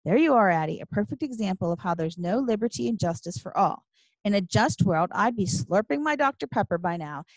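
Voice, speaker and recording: A woman's voice: mid-range voice, expressive, fairly quick, dry recording, rough recording. A woman voicing a brash, melodramatic seventh-grade boy who gripes theatrically in slangy audiobook dialogue. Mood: aggrieved, theatrical.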